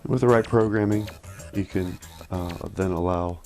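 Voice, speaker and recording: A man demonstrating an office product in a casual, halting, think-aloud way, like a hands-on walkthrough. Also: deep voice, a little mellow, faint music behind. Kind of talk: casual hands-on phone system demo.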